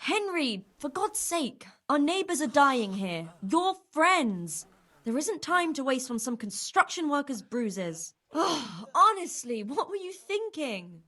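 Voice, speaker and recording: A woman's voice: sits high, sing-song, slow, a bit bright, heavily acted. A woman playing a weary, stern and devoted medieval nurse in a video game, blunt in manner. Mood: exasperated. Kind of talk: video game cutscene dialogue.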